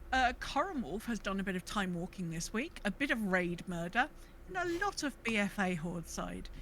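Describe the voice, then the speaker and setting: A woman with a bright voice at a middle pitch co-hosts a casual gaming podcast. She is chatty and enthusiastic, with some background noise on her recording. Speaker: podcast co-host. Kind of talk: casual chat about online gaming.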